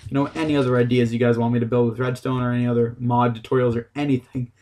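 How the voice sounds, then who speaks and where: A man's voice: medium pitch, level tone, fast talker, darkish tone. A man giving a casual, unscripted game tutorial, explaining things in a loose, hesitant way. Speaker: gaming tutorial host.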